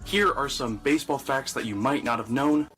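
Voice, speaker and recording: A man's voice: medium pitch, moderate pace, a bit bright, faint music behind. A man reading scripted sports trivia narration in a produced segment.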